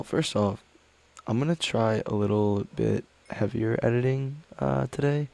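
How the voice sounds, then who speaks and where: A man with a low, gravelly voice reads online stories aloud, a little under the weather. He rambles and hesitates with lots of fillers between thoughts. The sound is dry and close. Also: a little mellow, dry recording. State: a little sick. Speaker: reddit story reader. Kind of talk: casual reading with commentary.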